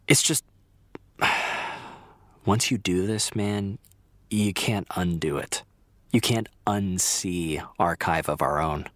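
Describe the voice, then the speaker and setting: An actor playing a long-suffering, sardonic male friend in a comedic audio drama, deadpan and weary. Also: deep voice, slow pace, pauses often, no echo. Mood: wary.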